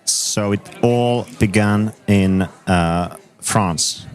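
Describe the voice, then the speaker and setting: A man in his thirties from Finland with a Finnish accent, a coach speaking as a guest in an interview and explaining his ideas in a measured, thoughtful way. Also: fairly low pitch. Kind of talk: conversational interview.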